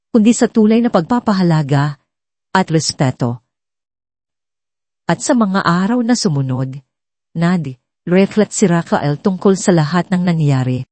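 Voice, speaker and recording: A woman reading scripted dramatic story narration in an earnest, measured manner. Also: fairly low pitch, dark tone, no room sound.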